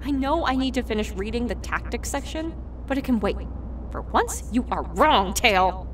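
A woman's voice: fairly high pitch, lively intonation, moderate pace, a little hiss or hum, natural voice. A woman voicing a plucky, stubborn young mare pony in an audiobook drama, earnest and emphatic. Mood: scolding. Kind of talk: audiobook character acting. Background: music.

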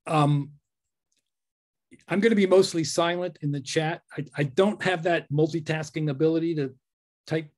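A man, the featured poet, talking about his craft on a video call, halting and repeating his words. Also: on the high side, fairly even intonation, a little mellow. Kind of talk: casual conversation on video call.

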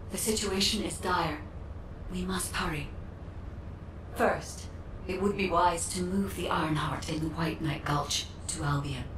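An actress playing a solemn guide who directs warriors in a video game, speaking formally and in a stately way with an echoing effect. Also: female voice, lowish voice, background noise. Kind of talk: scripted video game drama acting. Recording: echoey, distant sound under clear music.

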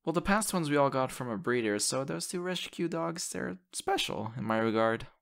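A man playing an excitable, sappy young boyfriend in an audio roleplay, talking in a gushing, hesitant, stammering way into a close mic. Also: medium pitch, normal speed, gravelly, clear, resonant tone. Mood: fond, wistful. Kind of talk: ASMR audio roleplay, conversational acting. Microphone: close condenser microphone.

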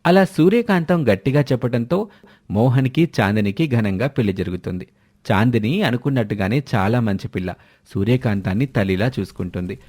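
A man's voice: mid-range voice, animated, a little fast, a little mellow. A man narrating a scripted moral story.

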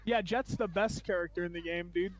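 A man's voice: sits high, brisk. A young man trash-talking in casual, profane, mocking banter during a video game match in a group voice chat. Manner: crude, mocking trash talk. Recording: noisy voice chat with mouse clicks.

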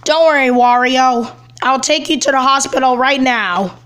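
A woman voicing a helpful cartoon superhero rescuer in a halting, matter-of-fact way. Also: high-pitched, fairly bright tone. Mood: helpful, calm.

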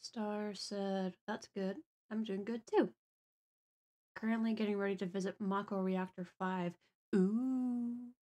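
A woman hosts a livestream in a casual, playful way. Her voice sits at an ordinary middle pitch, and she makes easy, chirpy small talk. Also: fairly even intonation, slow pace, warm tone. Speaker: livestream host.

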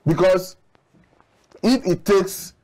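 A middle-aged man from Nigeria, a studio guest analyst, making measured, argumentative points with occasional restarts, on a lapel mic. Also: high-pitched, a little mellow. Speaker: public affairs analyst guest. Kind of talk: studio TV discussion. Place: TV studio set.

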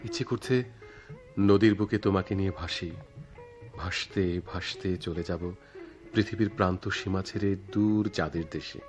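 Radio play lover male actor: idealistic young writer, Bangladeshi accent, low-pitched, poetic, theatrical delivery with music underneath. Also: fairly even intonation, moderate pace, long pauses, darkish tone, lightly acted. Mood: adoring, dreamy. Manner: poetic, earnest, declamatory. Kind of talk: theatrical radio drama acting.

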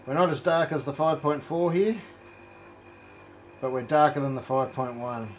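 A middle-aged man giving a casual hands-on home brewing demonstration, explaining in a relaxed way as he works in an indoor home brewery. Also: a little high, moderate pace, slight background noise, rough recording. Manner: relaxed, explanatory, thinking aloud while working.

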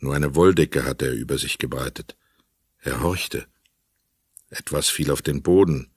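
A man's voice: extremely low pitch, unhurried, husky, no echo, clean studio sound. A man reading scripted literary narration as the narrator of a crime radio play.

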